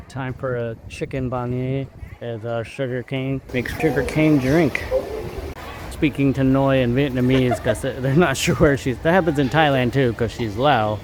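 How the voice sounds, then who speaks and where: A man chatting casually while filming a travel vlog outdoors in a city, thinking aloud in a relaxed way. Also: medium pitch. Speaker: travel vlogger. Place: outdoors in a busy city street. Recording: street noise behind. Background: traffic and city ambience.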